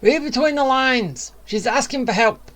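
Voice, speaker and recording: A woman's voice: high-pitched, lively intonation, normal speed, a bit bright. A woman giving casual gameplay commentary with wry, blunt asides. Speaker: video game streamer.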